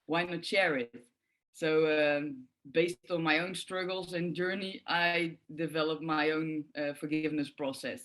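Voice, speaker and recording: A woman's voice: sits low, steady tone, unhurried, fairly bright tone, low-quality recording. A middle-aged woman from the Netherlands with a Dutch accent, speaking thoughtfully and with many filler sounds as a guest on forgiveness work. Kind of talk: reflective interview answers.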